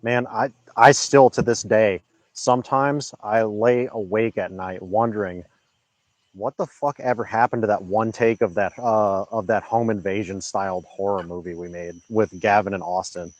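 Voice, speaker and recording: Laid-back low-voiced man reading chat. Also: normal speed, no room sound. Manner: laid-back, reads chat messages aloud, swears casually. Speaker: livestream co-host. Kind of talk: casual livestream chat.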